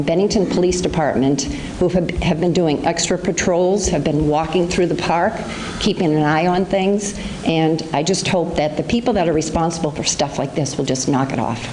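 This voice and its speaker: A woman's voice: sits low, moderate pace, a little mellow, rough recording. A woman making a plain-spoken, hesitant public comment at a town meeting. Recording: local-access meeting recording with faint noise.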